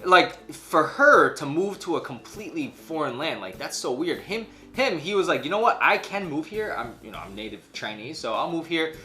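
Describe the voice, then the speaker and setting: A man's voice: on the high side, normal speed, few pauses. A man, co-hosting a casual chat, retelling a story in slangy speech and acting out quotes. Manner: animated, slangy, acts out imagined quotes. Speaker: co-host of a news chat show. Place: small furnished room indoors, on a couch.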